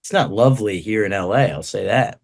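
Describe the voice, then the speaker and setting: A man's voice: fairly low pitch, animated, brisk, vocal fry. A man co-hosting a comedy podcast, trading jokes and laughing a lot as he makes fun of himself. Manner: joking, self-deprecating, laughs often. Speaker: podcast co-host. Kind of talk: casual comedic banter.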